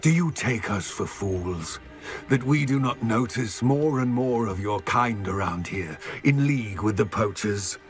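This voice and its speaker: A man's voice: lowish voice, lively intonation, faint music behind. An actor playing a proud, wary male creature guardian who speaks sternly and with menace. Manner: stern, slow and threatening. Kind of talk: video game voice acting.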